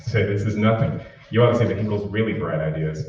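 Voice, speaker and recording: A man's voice: on the low side, fast talker, darkish tone, a little hiss or hum, lightly acted. A man playing a wry, nervous young sweetheart who grumbles in muttered asides, in old-time radio comedy style. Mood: reassuring, wry. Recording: echoey room, distant mic, dull sound.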